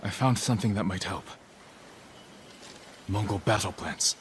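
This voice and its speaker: Actor as deep-voiced, stoic young samurai lord. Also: male voice, a little hiss or hum, natural voice. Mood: calm resolve. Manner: measured, terse, grave. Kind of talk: video game cutscene acting.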